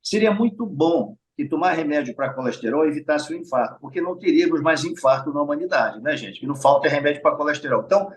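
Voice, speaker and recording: A man's voice: on the high side, animated, quick pace, hardly any pauses, a little hiss or hum. A middle-aged man with a Brazilian Portuguese accent, a heart surgeon explaining things patiently in an interview and often checking in with his listeners. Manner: explains patiently, often adds tag questions and addresses listeners directly. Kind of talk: explanatory conversational interview talk.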